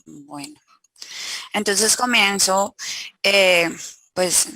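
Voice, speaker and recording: A woman giving an academic conference talk over a video call, pausing often with filler sounds. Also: mid-range voice, pushed and bright, dry recording, rough recording. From Colombia. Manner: hesitant, many filler sounds. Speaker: academic presenter.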